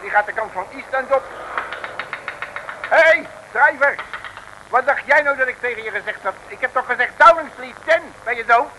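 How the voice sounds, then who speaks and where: An actor playing a blustering, grumbling detective in a radio serial, excitable and folksy in his speech. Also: extremely high pitch, normal speed, pauses often. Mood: indignant. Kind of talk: 1950s-60s radio serial acting. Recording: old radio recording, faint noise.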